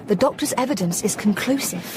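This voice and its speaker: An actress with a British accent playing a determined, devoted young wife in a radio drama, earnest and resolute. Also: female voice, mid-range voice, animated, a little fast, background noise, natural voice.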